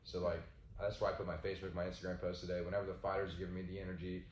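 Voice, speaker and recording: A man's voice: deep voice, little pitch movement, rapid-fire, a little mellow, slight background noise. A man from Southwest Florida, a ring announcer and co-host, chatting loosely with lots of "like" and "you know". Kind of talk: casual chat. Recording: echoey room, slightly dull sound.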